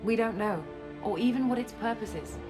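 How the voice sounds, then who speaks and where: A woman playing a guarded, self-assured scientist and space operative in a video game, explaining things in a measured way. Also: on the high side, lightly acted. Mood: grave. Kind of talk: video game cutscene acting. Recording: music under the dialogue.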